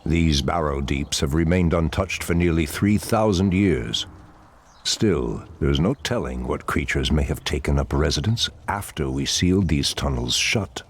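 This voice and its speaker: A man's voice: very deep voice, lively intonation, measured pace, breathy edge, heavily acted. A man playing an ancient, solemn and commanding night elf archdruid in a fantasy game, speaking in a grave, stately way. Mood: solemn, resolute. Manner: grave, stately, archaic phrasing. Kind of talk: fantasy video game cutscene acting.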